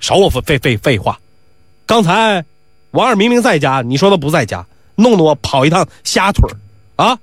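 A man's voice: on the high side, big pitch swings, normal speed, no echo, clean studio sound. A man voicing a self-important, hot-tempered errand runner who stammers and blusters, in a comic folk tale. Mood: blustering, angry.

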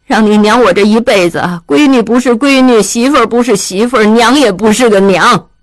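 A woman's voice: fairly high pitch, fairly quick, no room sound, natural voice. A woman voicing a spiteful, self-pitying old widow who wails her grievances. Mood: bitter. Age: older. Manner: whining, wailing complaint.

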